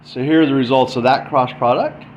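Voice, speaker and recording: A man's voice: mid-range voice, fairly even intonation, brisk. A man giving an informal explainer, thinking aloud in short, stop-start phrases as he demonstrates. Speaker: presenter explaining math. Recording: dull lo-fi mic, faint background noise.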